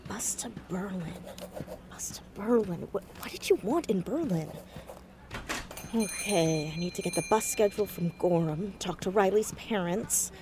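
A woman's voice: medium pitch, expressive, unhurried, noisy background, natural voice. A woman acting a teenage girl sleuth in an audio drama. Her voice is mid-range, earnest and stubborn, always probing and ready to argue. A light ambience sits behind it. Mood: flustered, muttering. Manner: earnest, probing, casually argumentative.

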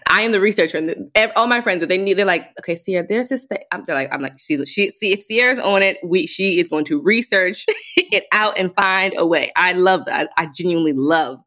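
A young American woman, a hairstylist, chatting as an interview guest, animated and self-assured, laughing often. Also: lowish voice, brisk. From United States. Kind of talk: casual interview chat.

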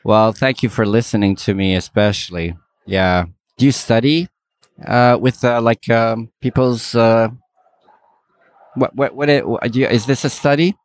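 A man from Montreal chatting casually and candidly, halting as he searches for words, with a jokey, self-deprecating manner. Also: medium pitch, no room sound, studio quality. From Montreal, Canada. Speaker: member of the public being interviewed.

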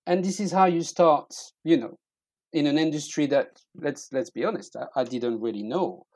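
A middle-aged man with a French accent telling personal stories in casual conversation, haltingly, often repeating words as he searches for them. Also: on the high side, a little mellow, dry recording. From eastern France. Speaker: interview guest.

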